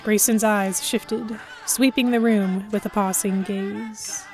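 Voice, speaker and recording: A woman's voice: mid-range voice, fairly even intonation, takes it slowly, soft background music. A woman reading scripted first-person narration for an audio drama.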